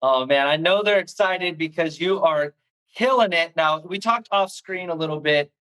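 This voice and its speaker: A man hosting a podcast, energetic and hype-filled, often stumbling and restarting his sentences. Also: fairly high pitch, moderate pace, clean tone, poor audio. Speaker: podcast host. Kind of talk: hyped show host intro and interview.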